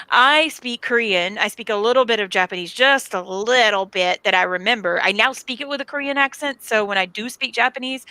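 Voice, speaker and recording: A chatty woman with a bright, forceful voice. She explains things at length in casual conversation and laughs often. The sound is close and dry. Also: medium pitch, no echo, poor audio. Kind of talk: casual chat.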